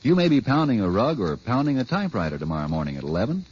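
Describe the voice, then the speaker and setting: A man gives an emphatic old-time radio sales pitch. His delivery is folksy and hugely animated, his voice very rough and husky. The broadcast sounds dull and dated. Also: very fast. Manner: folksy, emphatic, direct address. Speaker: commercial pitchman. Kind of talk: 1950s radio advertising pitch.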